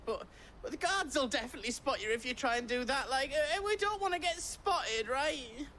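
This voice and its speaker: High-voiced, stammering timid young trader. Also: male voice, expressive, a little fast, sharp tone, a little hiss or hum, full character voice. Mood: nervous. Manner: stammering, hesitant.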